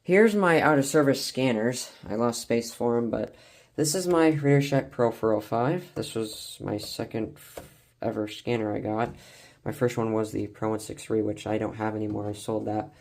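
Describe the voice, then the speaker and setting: A man's voice: lowish voice, moderate pace, vocal fry, darkish tone. Casual, rambling enthusiast guy. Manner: rambling, enthusiastic, stumbles over words. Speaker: collector showing weather radios and scanners. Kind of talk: casual collection showcase vlog.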